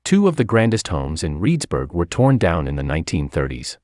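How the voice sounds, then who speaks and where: Deep male narrator for scripted local history, animated inflection, dry studio-like sound. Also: dry recording.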